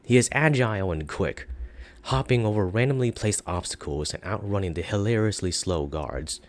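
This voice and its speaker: A man reading a story aloud as narrator, in an expressive storybook style. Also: lowish voice, moderate pace.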